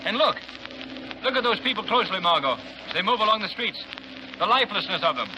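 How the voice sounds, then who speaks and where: An actor playing a gallant adventurer hero who flies a plane, speaking urgently and theatrically, in 1930s radio drama style. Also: male voice, high-pitched, sing-song, fairly quick, music in the background, poor audio. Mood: intense. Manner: urgent, declamatory. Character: gallant man-about-town hero, pilot. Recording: old radio broadcast with hiss.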